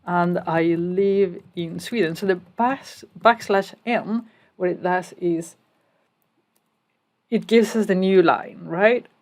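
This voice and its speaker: A woman's voice: lowish voice, takes it slowly, darkish tone. A woman from Spain giving a casual step-by-step coding tutorial as she types. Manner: explains step by step while typing, casual asides. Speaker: programming instructor.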